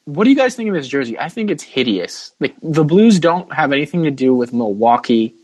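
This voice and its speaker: A man's voice: fairly high pitch, big pitch swings, fairly quick, darkish tone, husky, no room sound. A man hosting a casual sports podcast, chatting informally and giving firm opinions. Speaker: podcast host.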